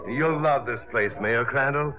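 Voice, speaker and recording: An actor playing a smooth, flirtatious sculptor with a playful, hesitating manner, in 1950s American radio drama style. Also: male voice, mid-range voice, measured pace, lightly acted. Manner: suave, playful, hesitating with uhs. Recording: tinny old radio broadcast, music behind.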